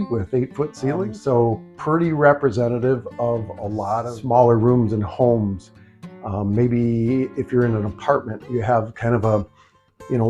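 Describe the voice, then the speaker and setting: A man's voice: medium pitch, warm tone. An American man hosting a casual, unscripted DIY vlog from a small home office, talking in an informal, rambling way full of conversational asides. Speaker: video host, DIY speaker builder.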